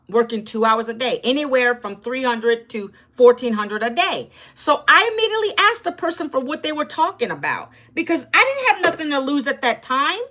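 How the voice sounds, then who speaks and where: A woman's voice: a little high, fairly bright tone. A woman in her fifties from Northern Virginia, chatting casually on a livestream and talking straight to her viewers. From Northern Virginia, USA. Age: 50s. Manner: chatty, talks straight to viewers and reads their comments aloud. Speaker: livestream host.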